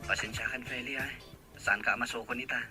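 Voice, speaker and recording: A man's voice: mid-range voice, fairly even intonation, quick pace, music in the background, natural voice. A young man playing a polite, earnest boyfriend in an Ilocano audio drama, speaking a little hesitantly. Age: young adult.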